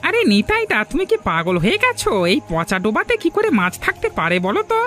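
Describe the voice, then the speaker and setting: A man's voice: very high voice, huge pitch swings, quick pace, bright, forceful tone, soft background music, heavily acted. A man voicing an eager, naive young village man in a cartoon. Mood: eager, questioning. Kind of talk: Bengali cartoon voice acting.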